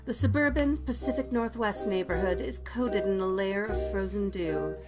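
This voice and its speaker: A woman's voice: mid-range voice, moderate pace, over loud music. A woman narrating stage directions from a script at a table read.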